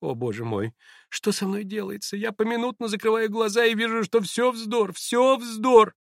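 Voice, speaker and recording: A man's voice: high-pitched, animated, normal speed, creaky, a bit bright, dry recording, clean studio sound, pitched above the performer's own voice, full character voice. A man reading aloud, voicing a theatrical, flustered middle-aged society mother who gushes and exclaims. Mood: distraught. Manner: gushing, exclamatory, melodramatic.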